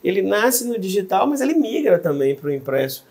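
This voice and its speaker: A man's voice: high-pitched, big pitch swings, fairly quick, a little mellow, breathy edge. A man co-hosting an interview, chatting with enthusiasm. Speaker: podcast co-host. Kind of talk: interview conversation.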